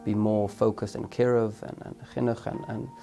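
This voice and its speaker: A young man with a fairly low voice talks earnestly about his training. He reflects as he goes and restarts his phrases now and then. Soft music plays behind him. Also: little pitch movement, normal speed, vocal fry. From London, England. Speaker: teacher trainee. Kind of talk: testimonial interview.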